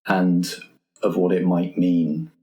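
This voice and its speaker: Male game master, fairly low voice, narrating a tabletop adventure, recorded in an echoey room. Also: flat delivery, takes it slowly, warm tone, faint music behind. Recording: roomy echo.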